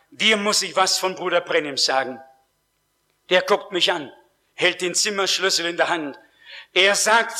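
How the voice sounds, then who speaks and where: A male preacher delivers his sermon at an unhurried pace, leaning hard on key words. His voice sits high for a man and has a bright, forceful edge. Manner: slow, emphatic preaching with rising stresses. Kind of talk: sermon with personal testimony.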